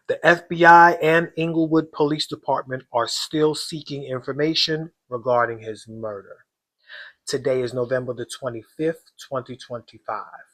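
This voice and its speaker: A man with a hoarse voice, hosting an astrology reading and talking casually and directly to his viewers. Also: mid-range voice, a bit bright. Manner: slow, deliberate, talks straight to viewers. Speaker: astrology channel host.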